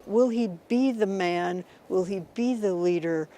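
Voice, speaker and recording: An older woman giving personal testimony in an interview, speaking haltingly and repeating words. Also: medium pitch, noisy background. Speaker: abuse survivor interviewed.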